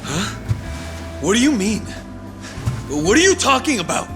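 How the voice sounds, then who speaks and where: An actor playing a ruthless, imperious politician crime boss in a video game, arrogant and volatile. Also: male voice, high-pitched, sing-song, a little slow, gravelly, music in the background, exaggerated voice. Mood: shocked, desperate. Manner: imperious, profane. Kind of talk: English video game voice acting.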